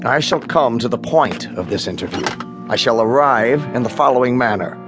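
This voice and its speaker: A man playing a pompous, stern bank president in a radio drama, speaking in precise, emphatic, drawn-out phrases. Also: mid-range voice, animated, music in the background, close to an everyday voice. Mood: exasperated, pompous.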